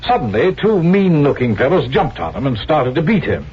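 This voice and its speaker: Sly, animated swindler. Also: male voice, a little high, moderate pace, a little hiss or hum. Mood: amused, glib. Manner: glib, chatty, falsely deferential. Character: sly, callous male swindler, genial and opportunistic. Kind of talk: 1970s radio drama acting. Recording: dull vintage radio recording, music behind.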